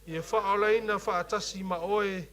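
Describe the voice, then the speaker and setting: A man with a Samoan accent, a youth leader preaching a sermon who also reads scripture, often pausing and restarting as he searches for English words. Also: high-pitched, steady tone, a bit bright. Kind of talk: bilingual sermon with scripture reading.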